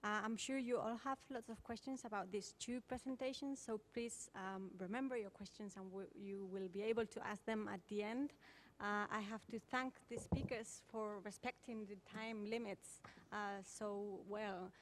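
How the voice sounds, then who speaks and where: A woman's voice: medium pitch, fairly even intonation, moderate pace, slight background noise. A woman chairing a symposium panel, thanking one speaker and introducing the next in an orderly way.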